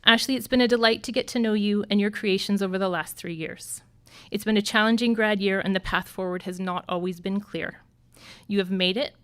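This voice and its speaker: A woman teacher giving a graduation speech as a rhyming poem, in a sing-song rhythm that slows on key lines. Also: mid-range voice, fairly even intonation, clear, resonant tone.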